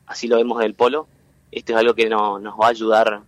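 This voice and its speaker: A man from Entre Ríos in Argentina with a local accent, a guest explaining at length in an interview, halting and full of fillers and restarts. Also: fairly low pitch, flat delivery, no room sound. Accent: Entre Ríos Argentine Spanish. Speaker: guest, tech cluster president.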